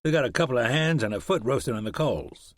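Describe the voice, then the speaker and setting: A tough frontiersman speaks in a clipped, crisp drawl, as a male narrator puts him on, pitched somewhat above the narrator's own voice. The audiobook sound is dry and close, with no room around it. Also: fast talker, dry recording, close to an everyday voice. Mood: blunt.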